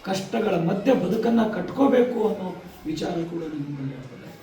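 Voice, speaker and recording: A man giving a motivational speech to students, emphatic, with rhetorical repetition and deliberate pauses. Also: sits high, sing-song, mellow. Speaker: guest speaker addressing schoolchildren. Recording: echoey, distant microphone, faint noise.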